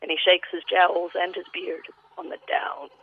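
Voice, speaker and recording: A woman's voice: fairly low pitch, animated, normal speed, vocal fry, breathy edge. A woman with an Australian accent reading a personal eulogy as vivid literary storytelling. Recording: thin phone-line sound.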